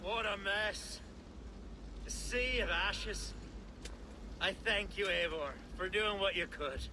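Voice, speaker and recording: An actor playing a weary, excitable, pious Saxon man of wealth in a video game, with a theatrical, exclamatory manner. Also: sits high, slow, noisy background. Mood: weary, grateful. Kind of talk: video game cutscene acting.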